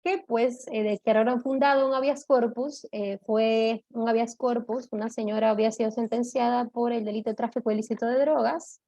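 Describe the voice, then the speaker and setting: A woman giving an online law lecture, explaining step by step, often checking in with her listeners and pausing on "eh". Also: fairly high pitch, steady tone, dark tone. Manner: explanatory, checks in with "¿de acuerdo?", frequent "eh" fillers.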